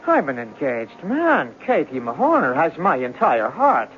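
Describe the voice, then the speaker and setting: An actor playing a hot-tempered, lovesick Irishman in a 1970s radio drama, theatrical and quick to take offence. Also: on the high side, heavily acted. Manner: excitable, theatrical, indignant. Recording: dull, hissy 1970s radio broadcast recording.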